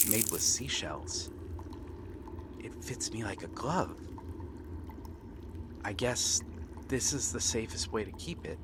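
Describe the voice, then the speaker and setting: A man acting a friendly, eager young pirate prince in an earnest, gentle ASMR roleplay with pauses. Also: fairly low pitch, background noise, lightly acted. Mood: musing, tender. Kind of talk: ASMR roleplay acting, one-sided dialogue. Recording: water and ambient sound effects behind. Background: seaside ambience, water sounds.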